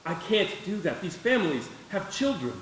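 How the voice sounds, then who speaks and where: A man playing a decent, soft-hearted businessman, earnest and conciliatory, in a live radio play. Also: high voice, big pitch swings, moderate pace, background noise. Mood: pleading, earnest. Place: stage of a live theater performance. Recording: echoey stage, distant mic, faint music.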